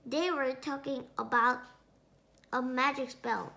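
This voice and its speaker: A young female reader narrating a children's story aloud in a halting, careful way. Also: sits high, steady tone, creaky, pushed and bright. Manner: halting, word-by-word reading with self-corrections.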